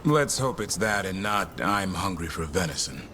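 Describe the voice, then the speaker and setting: An actor playing a gruff but soft-hearted hunter who cares for a griffin, terse and understated, in a video game. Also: male voice, sits low, animated, normal speed, slight background noise. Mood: wry, low-key. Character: gruff male warden hunter caring for a griffin, softening. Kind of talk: naturalistic video game acting. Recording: game music behind the voice.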